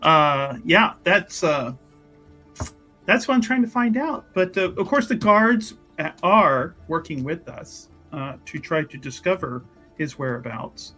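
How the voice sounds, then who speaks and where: A player doing a bright-voiced, shrewd church investigator. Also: medium pitch, expressive, measured pace, faint music behind. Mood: politely probing. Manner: formal, hesitant, wordy, politely needling. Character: shrewd, probing church investigator with formal, insinuating speech. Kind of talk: improvised in-character tabletop roleplay.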